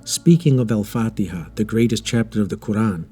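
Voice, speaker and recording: A man delivering scripted educational narration in a deliberate, emphatic, explanatory manner. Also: lowish voice, warm tone, slightly rough. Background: background music.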